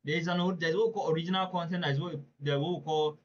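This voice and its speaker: A man teaches a live online webinar in a somewhat high voice. He talks earnestly and emphatically and often puts questions straight to his listeners. The sound is dull and lo-fi, like audio from an online call. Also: fairly even intonation, quick pace. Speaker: webinar presenter.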